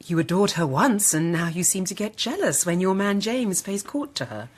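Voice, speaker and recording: A poised young lady in a radio costume drama. Her voice is a little husky and swings expressively in pitch. She is direct, assured and unsentimental. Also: animated, moderate pace. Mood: pointed, composed. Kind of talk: period radio drama acting.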